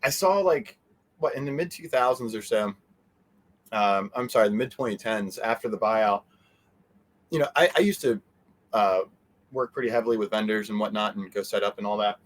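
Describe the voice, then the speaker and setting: A man's voice: on the low side, moderate pace, long pauses. A man being interviewed, talking in a casual, rambling, conversational way. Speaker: interview guest, convention organizer.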